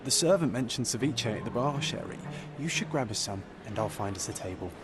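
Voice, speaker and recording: A cheerful companion voiced by a man in a game cutscene, coaxing and teasing his friend. His voice sits in a middle pitch, with music clearly audible underneath. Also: lively intonation, fairly quick, lightly acted. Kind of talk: video game cutscene voice acting.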